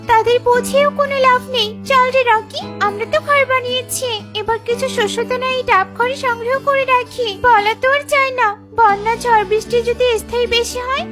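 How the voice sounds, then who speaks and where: A woman as a high-voiced earnest sister bird. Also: steady tone, brisk, hardly any pauses, over music. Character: wise, caring young sister bird in children's cartoon.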